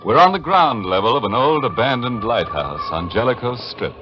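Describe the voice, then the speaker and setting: A man's voice: medium pitch, expressive, normal speed, close to an everyday voice. A man with an American accent playing a ghoulish, sardonic host of a 1940s radio horror mystery, narrating dramatically. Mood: ominous, suspenseful. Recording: muffled 1940s radio broadcast with music.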